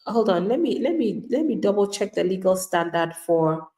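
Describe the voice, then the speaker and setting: A woman's voice: mid-range voice, animated, moderate pace, warm tone, echoey room. A woman immigration attorney giving live legal advice to viewers in an emphatic, plain-spoken way, acting out the replies of people she describes.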